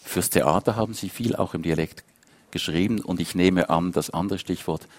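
A man's voice: deep voice, normal speed. A man with a Swiss accent moderating a live literary interview, speaking thoughtfully and correcting himself as he goes. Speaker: panel moderator.

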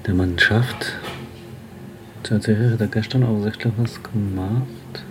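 A man's voice: lowish voice, fairly even intonation, a little slow, background noise. A man talking casually to himself in short, clipped remarks. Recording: music behind the voice. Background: music.

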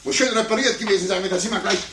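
An actor playing a pompous, condescending sore loser, stammering and blustering in a 1950s radio play. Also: male voice, high-pitched, racing. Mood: angry. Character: pompous adult man, a blustering, sore-losing chess player. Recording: roomy, noisy 1950s radio recording.

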